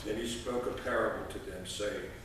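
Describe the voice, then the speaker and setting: A man in his sixties speaking at a church lectern as a lay reader, in a folksy, halting way with frequent pauses. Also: fairly low pitch, steady tone, a bit bright. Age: 60s. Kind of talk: informal church address and scripture reading. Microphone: distant camera microphone. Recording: echoey church, distant mic, noisy.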